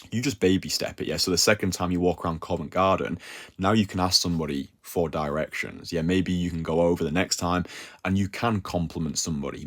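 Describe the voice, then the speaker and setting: A young man talks through dating advice in a loose, animated way, tagging his sentences with "yeah?" and filling gaps with "like". His voice sits very low. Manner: animated, slangy, full of "like" and "yeah?" tags. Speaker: dating coach. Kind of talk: casual advice talk.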